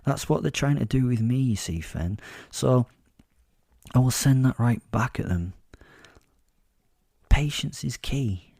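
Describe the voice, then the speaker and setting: A man's voice: mid-range voice, lively intonation, slow, vocal fry, long pauses, mellow, dry recording, clean studio sound, voice pushed up, full character voice. A man playing a sly, sadistic, self-assured captive werewolf who tells his story in a confiding, gloating way for a horror comedy audio drama. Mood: scheming.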